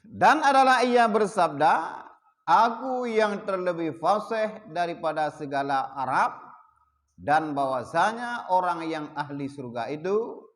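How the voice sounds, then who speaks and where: A man with an Acehnese accent teaching a religious study group, slowing down to chant Arabic passages and asking listeners rhetorical questions. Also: fairly high pitch, in a large hall. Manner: mixes plain explanation with slow chanted Arabic readings; asks the audience rhetorical questions. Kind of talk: kitab study lesson. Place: prayer hall.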